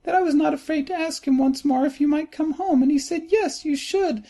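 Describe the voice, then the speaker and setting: A man voicing a small, gleeful, loving little girl in a storybook reading, speaking high and excitedly. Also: fairly quick. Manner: high, excited, sing-song.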